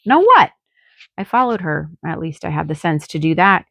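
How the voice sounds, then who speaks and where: A woman's voice: sits low, a little fast, vocal fry, a bit bright, no echo, clean studio sound. A woman narrating a first-person novel as an audiobook, reading expressively and acting out the drama. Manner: dramatic, acts out dialogue. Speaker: author reading own novel.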